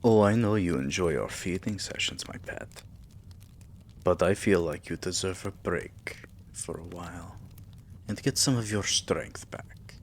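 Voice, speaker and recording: Guy doing a doting possessive vampire king for asmr roleplay, low gravelly voice, soft breathy whispers, noisy background. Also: unhurried. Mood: gentle, curious. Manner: soft, breathy, courtly endearments. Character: tender, possessive male vampire king doting on his human pet. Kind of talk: intimate roleplay ASMR, often dropping to a whisper.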